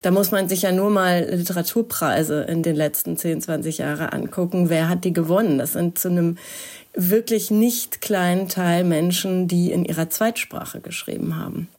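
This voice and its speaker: A woman's voice: on the low side, normal speed, a little mellow. A woman speaking as a literary expert, explaining in a conversational way. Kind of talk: interview commentary.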